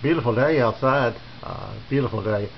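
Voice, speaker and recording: A man with a mid-pitched voice talks casually and without hurry, as a hobbyist showing visitors around. His delivery is halting and plain-spoken. The sound is dull and lo-fi, with faint noise underneath. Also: vocal fry. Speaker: amateur radio hobbyist giving a tour. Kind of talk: casual unscripted equipment tour. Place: small home radio shack, indoors.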